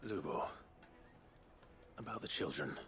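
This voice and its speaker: An actor playing a grave, terse warrior hero in a fantasy game. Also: male voice, very deep voice, expressive, measured pace, fairly bright tone, full character voice. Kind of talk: dramatic video game dialogue.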